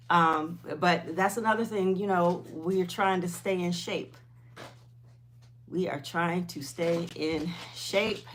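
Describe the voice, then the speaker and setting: Slow-talking woman, lowish voice. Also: slight background noise. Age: 50s. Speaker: host of a weight loss livestream. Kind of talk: casual livestream chat, replying to viewers.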